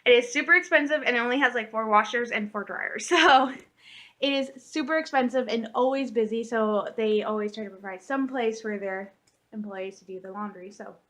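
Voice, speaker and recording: A thirty-year-old woman talks to her camera from home with a high, bright voice. She rambles in an easy, chatty way, full of fillers and quick laughs. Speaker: vlogger. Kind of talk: casual chat to camera.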